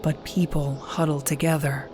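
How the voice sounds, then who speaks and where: A woman's voice: low-pitched, level tone, moderate pace, background noise, close to an everyday voice. A woman playing a devout, wounded warrior sister in an audio drama, grave and intense as she narrates her own story. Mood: haunted, solemn. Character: wounded devout warrior sister, resolute martyr facing death. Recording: dramatic music behind the voice.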